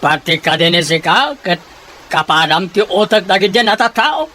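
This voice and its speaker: A man's voice: high-pitched, normal speed, sharp tone, a little hiss or hum. A man playing an irascible, domineering rich boss in a radio drama, blustering and impatient. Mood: irate, scornful.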